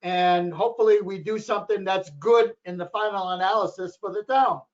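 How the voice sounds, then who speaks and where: A hoarse man with a high-pitched voice talks at a town planning board meeting, rambling and repeating himself. The sound is dull and lo-fi, like local cable TV audio. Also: fairly even intonation, brisk. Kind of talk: meeting discussion.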